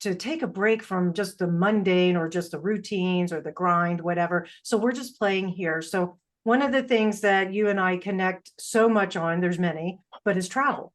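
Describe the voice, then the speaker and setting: A woman's voice: fairly low pitch, normal speed, few pauses. A middle-aged woman hosting a podcast, telling anecdotes in a playful, irreverent, casual way. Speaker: podcast host.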